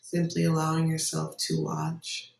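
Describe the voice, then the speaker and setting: A meditation guide giving gentle, unhurried instructions with long pauses between phrases. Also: medium pitch, monotone, creaky. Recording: roomy echo, distant microphone.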